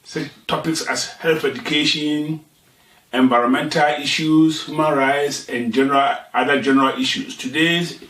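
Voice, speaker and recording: A man from Ghana whose first language is Twi, speaking English with a Ghanaian accent as the host of a satirical talk show, unhurried and explanatory with pauses and restarts. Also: fairly high pitch, a bit bright, roomy sound, far from the mic, rough recording.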